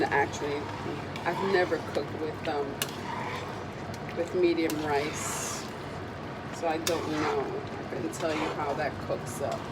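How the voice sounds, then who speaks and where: A woman with a raspy, slightly low voice, still getting over a cold, chats loosely through a cooking demonstration, full of ums and side remarks. She is recorded in a home kitchen, with loud background noise under her voice. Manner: chatty, meandering, with frequent ums. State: recovering from a cold. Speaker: cooking video host.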